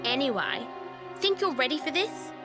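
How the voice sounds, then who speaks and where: A woman's voice: high voice, animated, moderate pace, music in the background. A woman playing a brash, cocky young rebel leader in a video game, blunt, slangy and mocking. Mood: teasing, cocky. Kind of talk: video game cutscene voice acting.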